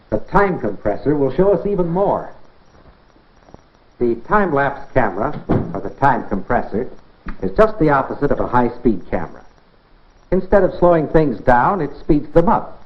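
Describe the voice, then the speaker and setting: An American man presents a science demonstration in the manner of a 1950s classroom film. His pitch swings expressively as he instructs and puts questions straight to the viewer. The sound is muffled and narrow, like an old film track. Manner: instructive, rhetorical questions, addresses viewer directly. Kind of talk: 1950s educational film narration, scripted demonstration. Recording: dull band-limited 1950s film soundtrack.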